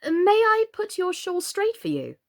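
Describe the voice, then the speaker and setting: A woman's voice: very high voice, huge pitch swings, a little slow, pitched above the performer's own voice, heavily acted. A woman voicing a polite, sensible, slightly timid young storybook girl in a civil, earnest way. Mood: helpful, polite.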